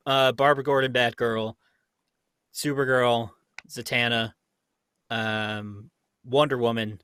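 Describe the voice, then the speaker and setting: A man's voice: medium pitch, steady tone, slow pace, fairly bright tone, clear, resonant tone, dry recording. A young adult man in casual conversation as a livestream panelist, retelling things in a loose, amused way. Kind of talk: casual chat about cartoons.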